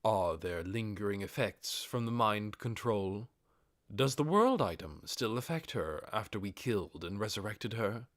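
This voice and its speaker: A man voicing a cautious, gracious undead overlord in an audiobook, speaking in a measured, commanding way. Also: on the low side, lively intonation, no room sound, clean studio sound. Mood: concerned. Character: undead overlord with red-spark eyes, cautious, gracious master. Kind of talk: audiobook character acting.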